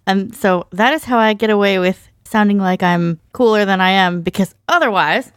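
A woman's voice: mid-range voice, normal speed, clear, resonant tone, no echo. Dry-sounding woman chatting casually. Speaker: podcast panelist and musician. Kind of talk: casual chat. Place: small treated spot in a bedroom. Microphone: mic inside foam-lined box.

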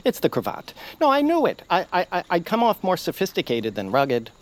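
An actor playing a timid, fussy, self-deprecating radio hero in a comedy audio drama, halting and apologetic. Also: male voice, high voice, wildly swooping pitch. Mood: dejected. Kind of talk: audio fiction comedy acting.

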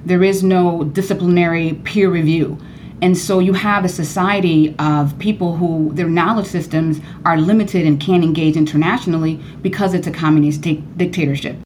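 A Cuban-born woman professor speaking earnestly as a radio interview guest, growing animated when she presses a point. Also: moderate pace, a little hiss or hum. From Cuba. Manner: earnest, animated, rising high when emphatic.

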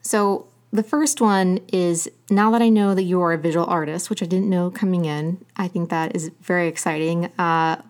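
Woman, interviewer, low-pitched, chatty, enthusiastic, complimentary. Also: steady tone, normal speed, few pauses, darkish tone, smooth. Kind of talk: interview questions and praise.

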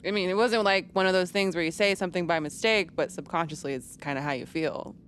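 A woman's voice: fairly low pitch, fast, a bit bright, a little hiss or hum, close to an everyday voice. A woman playing a slangy, affectionate young woman with a casual, wry manner in an audio drama. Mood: defensive.

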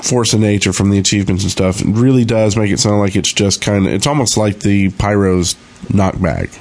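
A man's voice: lowish voice, fairly even intonation, fairly quick, a little mellow. A man on a podcast panel, chatting casually and offering his opinions. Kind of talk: casual chat.

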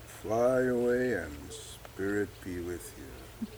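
Slow-spoken, rueful older man acted. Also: medium pitch, darkish tone, slight background noise. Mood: tender, sad. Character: ageing medic father, wry, fond, evasive, self-mocking. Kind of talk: naturalistic audio drama acting.

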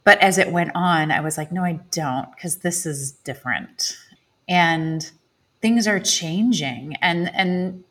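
A woman's voice: lowish voice, slow pace, a bit bright. A woman, an actress, being interviewed, speaking reflectively, often searching for words. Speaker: guest, stage actress. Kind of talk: conversational interview.

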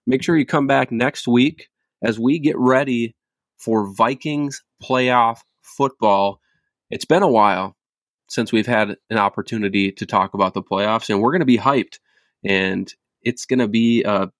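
A man's voice: medium pitch, unhurried, no room sound. A man hosting a casual sports podcast, laid-back and stumbling through restarts. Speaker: podcast host. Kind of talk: casual chat.

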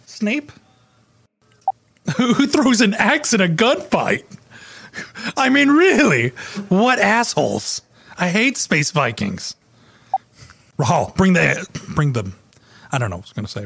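A voice actor goofing around between takes, playful and joking. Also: male voice, fairly high pitch, creaky, a bit bright, slight background noise. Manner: playful, jokey, swings between takes and banter.

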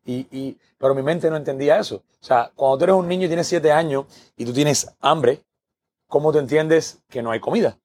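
A man's voice: a little high, normal speed, a little mellow. A man from Cuba with a Cuban accent, a podcast guest telling his life story earnestly, often repeating words as he builds a point. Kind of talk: podcast interview, personal story.

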